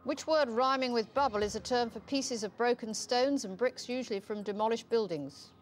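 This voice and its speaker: A woman's voice: fairly high pitch, moderate pace, faint music behind. A woman hosting a television quiz in a studio, reading questions and rules in a curt, mocking way. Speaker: quiz show host.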